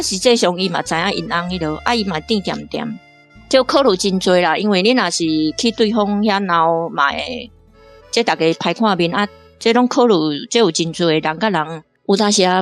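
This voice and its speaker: A woman from Taiwan, a guest reader, talking through a radio drama in a lively way, often laughing and restarting her phrases. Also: lowish voice. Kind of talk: casual on-air discussion.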